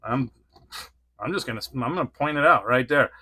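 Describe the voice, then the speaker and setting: A man's voice: mid-range voice, expressive, fairly quick, sharp tone, dry recording. A man in his forties reviewing an action figure in a casual, rambling way, laughing often between thoughts. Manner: rambling, giggly, lots of fillers. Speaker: toy reviewer.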